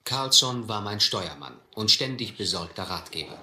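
An actor playing a stern, determined sea captain who narrates and commands in a firm, measured manner in a radio adventure play. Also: male voice, lowish voice, expressive, sharp tone, roomy sound, slight background noise. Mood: grave, narrating. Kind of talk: German audio adventure drama.

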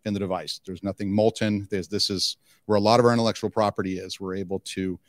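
Low-voiced middle-aged man in an interview. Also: little pitch movement, fast, dry recording, clean studio sound. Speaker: company executive being interviewed. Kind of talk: conversational trade-show interview. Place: indoor interview booth at a conference. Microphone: handheld microphone.

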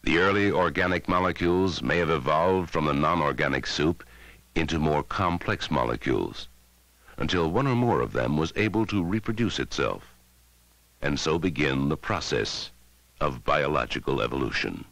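A man narrating a scripted educational film in a measured, dramatic way, on a 1960s film soundtrack. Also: a bass voice, gravelly, a bit bright, no room sound, low-quality recording. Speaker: documentary narrator.

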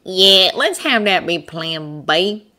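A woman's voice: mid-range voice, expressive, moderate pace, bright, forceful tone, pitched above the performer's own voice. A woman voicing a plain-spoken, polite but argumentative Texan man, an aeronaut, in a storybook reading. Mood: urgent, frank.

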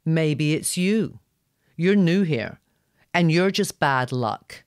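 A woman's voice: low-pitched, animated, takes it slowly, smooth, no room sound, studio quality, voice pushed up. A woman voicing a cocky, stubborn young gargoyle boy with a brash, carefree manner in an audio story. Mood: accusing.

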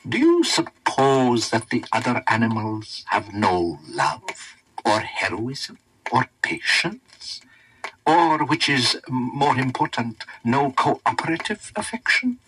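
A man's voice: mid-range voice, big pitch swings, takes it slowly, a bit bright, breathy edge, full character voice, low-quality recording. A man voicing a learned, opinionated old wizard tutor, lecturing pedantically in a storybook reading. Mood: challenging.

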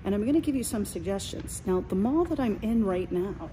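Middle-aged woman, mellow voice, eager walking vlog, phone at arm's length, faint mall hum. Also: medium pitch, lively intonation, brisk. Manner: chatty, enthusiastic, points things out as she walks. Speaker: small business coach. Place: large indoor shopping mall. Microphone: phone held at arm's length.

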